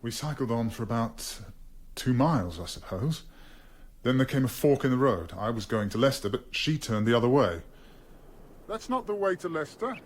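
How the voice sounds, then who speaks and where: A man's voice: mid-range voice, sing-song, normal speed. A man playing an anxious, defensive English former soldier under questioning in a radio drama, hesitant and guarded. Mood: wistful recollection, then gentle, shy.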